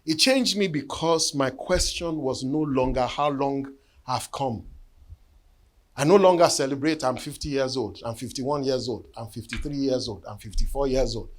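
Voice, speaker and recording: A man, preaching a sermon and telling a personal testimony in an earnest storytelling way that often sinks to hushed tones. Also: mid-range voice, normal speed. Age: 50s. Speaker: bishop.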